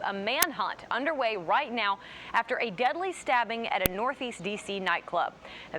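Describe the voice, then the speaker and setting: A woman's voice: mid-range voice, background noise. A woman working as a television morning news anchor, reading scripted news in broadcast style.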